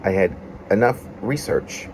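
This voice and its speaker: A man from Arkansas, a poet presenting and reading his own autobiographical work in an unhurried, personal way. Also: fairly low pitch, lively intonation, darkish tone, a little hiss or hum, poor audio. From Arkansas, United States. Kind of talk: casual introduction, then reading aloud autobiographical prose poem.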